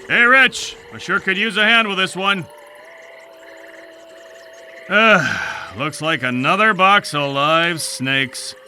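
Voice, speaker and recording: Sharp-voiced, unhurried deliveryman. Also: sits high, expressive, a little hiss or hum, heavily acted. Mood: matter-of-fact. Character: grumbling working-class male cargo deliveryman. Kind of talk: video game voice acting.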